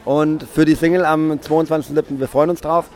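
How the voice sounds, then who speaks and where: A man's voice: a little high, fairly even intonation, a little fast, a little mellow. A man interviewing a musician outdoors, asking questions with lots of hesitations and fillers.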